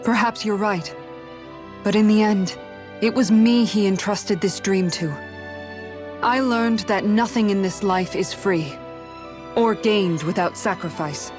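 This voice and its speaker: An actress playing an earnest, resolute young woman guard in a video game cutscene, speaking in a measured, formal, sincere way. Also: medium pitch, close to an everyday voice.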